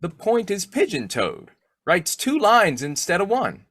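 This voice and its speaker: A man playing a blustery, boyish, quick-tempered bumbling husband in old-time radio comedy, with a folksy, slangy, argumentative way of talking. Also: high voice, wildly swooping pitch, fairly bright tone, dry recording, clean studio sound, heavily acted. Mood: joking.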